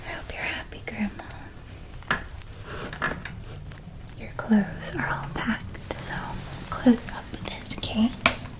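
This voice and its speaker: A woman voicing a gentle, doting witch parent in a soft, breathy whispered ASMR roleplay, tender and softly enunciated. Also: on the high side, fairly even intonation, slow pace, poor audio. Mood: tender, nostalgic. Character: gentle doting witch parent helping a child pack for school.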